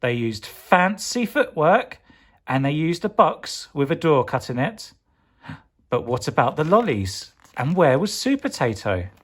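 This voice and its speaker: Man, storybook narration, slow delivery, highish voice, dramatic, expressive. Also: slightly rough. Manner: theatrical, expressive.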